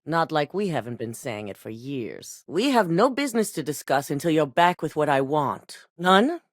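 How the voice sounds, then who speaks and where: Casting: poised corporate executive woman, low-ish voice with lively pitch, measured and formal, dry studio sound. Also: dry recording. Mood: calm. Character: composed corporate executive woman, cool and commanding. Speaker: corporate executive giving assignments. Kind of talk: video game voice acting.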